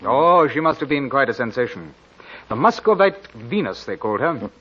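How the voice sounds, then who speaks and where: An actor playing a genial young officer, telling a tale theatrically in 1940s radio style. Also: male voice, medium pitch, sing-song, moderate pace, a bit bright, slightly rough. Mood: confiding. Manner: theatrical storytelling. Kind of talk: 1940s radio acting, dramatic narration. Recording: muffled vintage radio recording, music behind.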